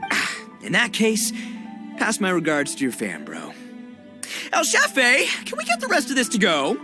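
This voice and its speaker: Brash young gang boss, high-pitched for a man, wildly animated, boisterous, slangy, video game, music behind. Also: measured pace, exaggerated voice. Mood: sheepish. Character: loud, brash, big-hearted young male gang boss.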